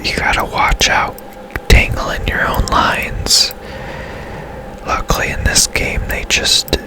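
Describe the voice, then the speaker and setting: A man whispering casual, halting ASMR gameplay commentary in a breathy, unvoiced voice, like a channel host.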